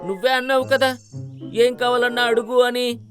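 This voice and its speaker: A boastful cartoon donkey playing at being king , acted by a man, in a high-pitched male voice. He speaks pompously and gives orders, with music playing behind him. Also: little pitch movement, normal speed. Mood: eager. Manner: pompous, commanding. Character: bossy, boastful donkey posing as lion king. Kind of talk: children's cartoon story dubbing.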